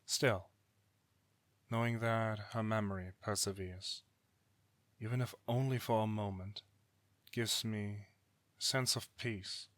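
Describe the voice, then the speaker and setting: An ancient god, played by a man in an audio drama, speaking in a low, tense voice with formal, archaic gravity. He is a bitter, unrepentant brother, worn by millennia, and the sound is close and dry. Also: a bit bright, no echo. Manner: formal archaic diction, grave, deliberate. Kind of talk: dramatic audio roleplay acting.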